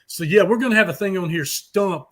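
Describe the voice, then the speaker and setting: A man's voice: high voice, fairly quick, a bit bright. A talkative man co-hosting a music talk show, chatting in a casual, rambling way with blunt swearing. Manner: foul-mouthed, blunt, talkative.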